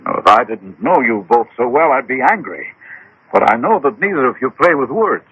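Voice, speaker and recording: An actor playing a headstrong, agitated gentleman in a radio drama, speaking insistently with dramatic, halting exclamations. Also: mid-range voice, very animated, husky, narrow, tinny audio. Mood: demanding, impatient. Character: headstrong, agitated gentleman demanding to know his fate. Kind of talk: 1970s-80s radio drama acting. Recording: old radio broadcast, faint noise.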